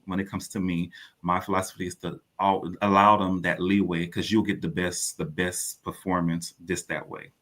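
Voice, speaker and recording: Bright, low-voiced man in interview. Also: level tone, normal speed. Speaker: guest playwright and director. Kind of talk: radio interview conversation.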